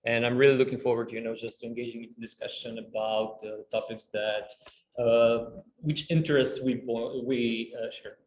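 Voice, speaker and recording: A man on a conference panel, explaining at a measured pace with frequent fillers and restarts. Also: mid-range voice, steady tone, a little hiss or hum. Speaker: panelist, youth studies researcher. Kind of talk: panel discussion answers. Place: conference room. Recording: echoey room, faint ambience.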